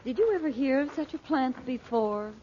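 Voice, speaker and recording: A woman's voice: high voice, normal speed. An actress playing an anxious, perceptive mother with refined, dramatic delivery in an old radio adventure serial. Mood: concerned. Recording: dull, noisy vintage radio recording.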